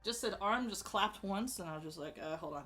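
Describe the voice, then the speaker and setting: A woman talks casually over faint background music, rambling and restarting her sentences. Her pitch swings widely, jumping up high when something excites her. She sounds like a streamer thinking out loud at her desk. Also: fairly quick. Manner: animated, many fillers and restarts, bursts of excitement. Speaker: streamer ranking show characters.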